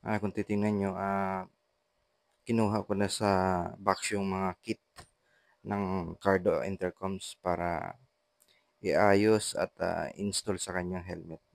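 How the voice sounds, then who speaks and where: Filipino man, vlogger, monotone delivery, dry close sound, halting short phrases, casual how-to narration. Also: sits low, gravelly, no room sound. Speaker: vlogger, intercom installer.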